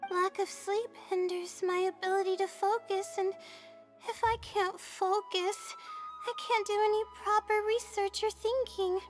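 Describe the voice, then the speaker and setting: A woman voicing an anxious, exhausted young female student full of self-doubt in a video game, hesitant and dejected. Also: extremely high pitch. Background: game music.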